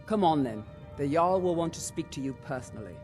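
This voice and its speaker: An actor playing a wary, officious advisor at a fantasy game court, formal and curt. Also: male voice, on the high side, expressive, brisk, over loud music, natural voice. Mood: brisk.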